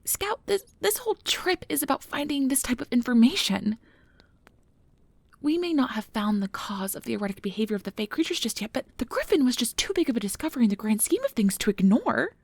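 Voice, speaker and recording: A woman playing a nervous, earnest, principled field researcher of magical creatures in an ASMR audio drama, soft and hesitant with stammers, close to the mic. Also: fairly high pitch, very animated, brisk, dry recording, full character voice. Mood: upset, impassioned. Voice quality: breathy, soft. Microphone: close mic, dry recording.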